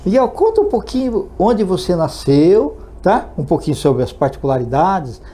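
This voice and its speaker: A male host runs an interview with a slightly high, mellow voice. His intonation swings expressively as he asks casual questions and keeps the guest going with short encouraging words. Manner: brief encouraging affirmations and chatty questions. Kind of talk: conversational interview.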